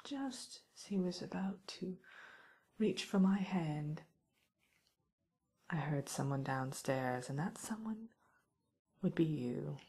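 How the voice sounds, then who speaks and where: A woman playing a wry, teasing witch who scolds an intruder in an ASMR roleplay, speaking softly and often in a whisper. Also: low-pitched, expressive, takes it slowly, pauses often, heavily acted. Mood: wistful storytelling. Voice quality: soft, breathy, often whispered. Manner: teasing, musing, mock-stern, theatrical.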